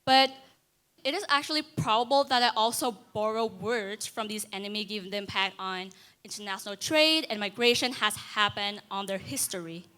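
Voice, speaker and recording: Bright-voiced teen girl with a Vietnamese accent. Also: mid-range voice, normal speed. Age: teens. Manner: earnest, with restarts and asides to the audience. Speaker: student speaker. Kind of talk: school stage talk. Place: school auditorium stage.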